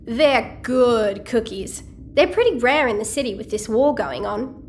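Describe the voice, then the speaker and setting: A woman's voice: a little high, expressive, unhurried, slight background noise. An actress playing a chatty, cheeky, nosy teenage girl in an audio sci-fi drama. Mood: friendly, teasing. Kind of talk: audio-fiction drama dialogue.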